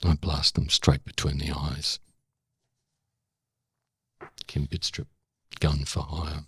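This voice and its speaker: An older Australian man with a gravelly voice, speaking as an interview guest and former correspondent. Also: very deep voice, level tone, takes it slowly, sharp tone. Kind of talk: dramatic spoken-word recital of own prose, then reflective conversation.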